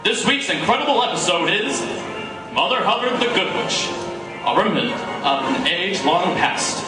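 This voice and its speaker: A man's voice: sits high, lively intonation, moderate pace. A man narrating a live radio play in a grandiose, theatrical 1940s announcer style. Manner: grandiose, dramatic. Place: live performance in a coffee house. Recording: echoey room from far off, music.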